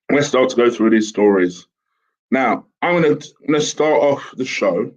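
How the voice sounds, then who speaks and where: Hoarse, croaky man talking. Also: lowish voice. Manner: chatty, rambling with fillers and restarts. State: hoarse sore throat. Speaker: host. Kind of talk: casual solo commentary. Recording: dull lo-fi home recording with fan.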